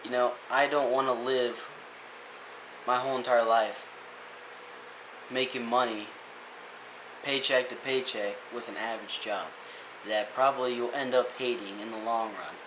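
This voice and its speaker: A man's voice: medium pitch, fairly even intonation, a little slow, long pauses. A young man giving a casual, rambling motivational rant as a vlogger, earnest and full of restarts. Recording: echoey room with hiss.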